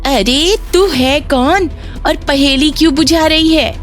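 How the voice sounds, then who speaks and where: Woman acting a shrill, greedy, scheming neighbour woman. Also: slow, faint music behind. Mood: startled, rude. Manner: sarcastic, exaggerated, melodramatic. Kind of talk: children's moral story acting.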